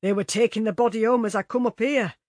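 A gossipy working-class delivery man who relishes every grim detail, put on by a woman reader. The voice is a little husky and recorded dry and close. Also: fairly high pitch, fairly even intonation, fast talker, a little mellow, dry recording, voice pushed up, lightly acted. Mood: relishing. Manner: relishing, chatty storyteller.

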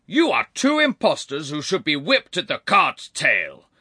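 A man's voice: a little high, wildly swooping pitch, unhurried, bright, forceful tone, husky, no room sound, studio quality, voice pushed up, full character voice. A man voicing a haughty, furious aristocrat in an audiobook, blustering and roaring with indignation. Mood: furious, indignant. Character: haughty, furious aristocratic lord, swaggering villain. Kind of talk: dramatised audiobook character voice.

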